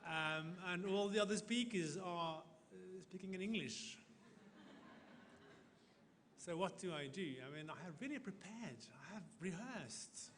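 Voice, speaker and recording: A man giving a formal conference speech as a diplomat, halting and careful. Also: high voice, fairly bright tone. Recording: reverberant hall with faint noise.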